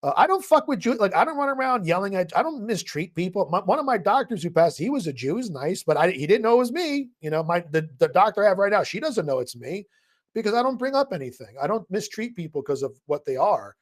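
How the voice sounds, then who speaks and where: A man's voice: high voice, big pitch swings, quick pace, dry recording, clean studio sound. A man hosting a live audio chat room, talking in a loose, rambling way. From New Jersey. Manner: loose, rambling, laughs at own remarks.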